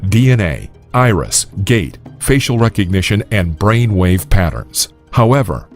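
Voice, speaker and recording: A man reading scripted narration in a measured, even way. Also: sits low.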